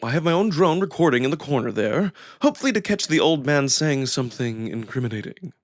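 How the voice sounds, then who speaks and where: A man's voice: mid-range voice, big pitch swings, dry recording, clean studio sound, close to an everyday voice. Man voicing a smug, lilting jackal prince. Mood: composed, sly. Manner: measured, teasing, theatrically polite. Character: smug, suave young jackal prince, calmly calculating.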